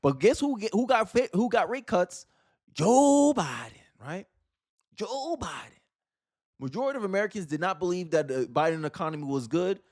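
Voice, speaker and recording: A man's voice: high-pitched, animated, moderate pace, darkish tone. A man hosting political commentary, talking casually and emphatically to viewers with repeated phrases and rhetorical questions.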